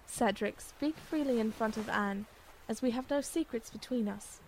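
A woman playing a loyal young blacksmith in an audio drama, calm and reassuring in manner. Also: on the high side, moderate pace, a little hiss or hum, close to an everyday voice, rough recording. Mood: firm, concerned.

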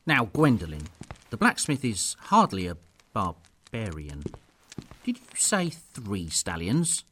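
A man's voice: lowish voice, huge pitch swings, takes it slowly, a bit bright, slight background noise, exaggerated voice. A man playing a dismissive, self-assured middle-aged noble father in an audio drama. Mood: placating, curious.

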